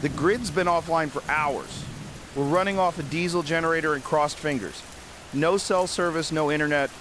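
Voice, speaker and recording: An actor playing a weary, shaken survivor hosting an emergency radio broadcast in a drama, with plainspoken, informal, uneasy delivery. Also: male voice, a little high, normal speed, a bit bright, slight background noise, lightly acted.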